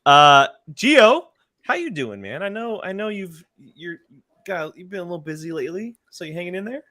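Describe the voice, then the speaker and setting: A man's voice: high voice, big pitch swings, moderate pace, pushed and bright. A man hosting a casual live group chat, with a teasing, playfully exasperated way of talking. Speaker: host.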